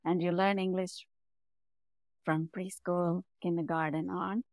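A middle-aged woman from Sri Lanka with a Sri Lankan accent, a native Tamil speaker, telling her story as an interview guest and growing animated as she goes. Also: unhurried, no echo, studio quality. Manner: animated, rising in pitch and volume when telling a story. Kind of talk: conversational storytelling.

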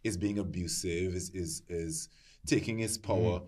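A deep-voiced man in casual discussion, speaking sternly and emphatically with some hesitations. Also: normal speed, a little mellow. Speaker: discussion panelist.

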